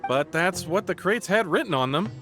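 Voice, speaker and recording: A woman playing an excitable caravan hand who blurts things out in a video game. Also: lowish voice, moderate pace, breathy edge, soft background music. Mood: blurting.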